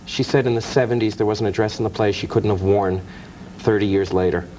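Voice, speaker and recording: Fast-talking man explaining in detail. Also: on the low side, fairly even intonation, warm tone, slight background noise, rough recording. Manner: knowledgeable, detailed explanations with small restarts. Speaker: film author guest. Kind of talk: interview talk. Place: TV studio.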